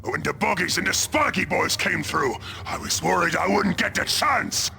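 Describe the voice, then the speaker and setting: A boisterous, brutish ork bellows in rough Cockney for a video game. The voice is strained high, harsh and gravelly, swinging about with crude belligerence. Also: male voice, lively intonation, normal speed, a little hiss or hum, full character voice. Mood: gleeful, belligerent. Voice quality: gravelly, shouty. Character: brutish, boisterous male ork warboss and his gang. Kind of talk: video game cutscene acting.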